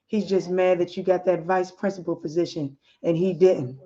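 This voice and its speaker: Brief: woman playing a gentle husband, lowered voice, slow and soothing, muffled audio. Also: close to an everyday voice. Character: calm, loving husband, adult man.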